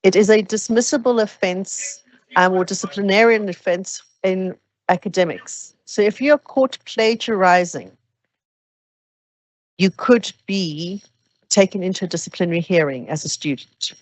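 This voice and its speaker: A woman explaining academic procedures to new students at an orientation, steady and practical with some fillers. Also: mid-range voice, unhurried, rough recording. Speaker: orientation presenter.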